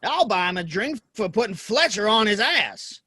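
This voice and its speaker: An earnest American cowboy in a Western audio play, performed by a man. His voice is high and bright and moves around a lot. He is folksy and a bit hesitant, shy but stubborn. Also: big pitch swings, normal speed, husky, no room sound, studio quality, full character voice. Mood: friendly. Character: earnest, plain-spoken cowboy, shy yet defiant.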